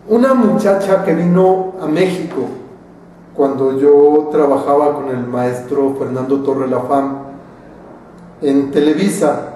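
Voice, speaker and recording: A man with a Mexican accent, an acting teacher telling anecdotes in a slow, deliberate lecture. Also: mid-range voice, dark tone, a little hiss or hum. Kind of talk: storytelling lecture. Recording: very echoey room, far from mic.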